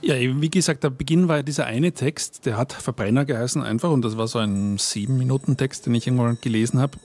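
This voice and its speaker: A man answers questions on a festival stage. He talks at an easy middle pitch. He often stops, restarts and trails off while he gathers his thoughts. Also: quick pace, darkish tone. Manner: thoughtful, searching for words, trailing off. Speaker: author and audio artist, guest. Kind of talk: reflective festival stage interview.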